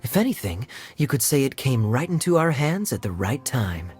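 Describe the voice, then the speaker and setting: A man voicing a suave, laid-back young master thief in a video game, talking in a smooth, playful, wry way. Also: mid-range voice, very animated, moderate pace, darkish tone, faint music behind. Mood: playful, assured. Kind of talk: video game cutscene acting.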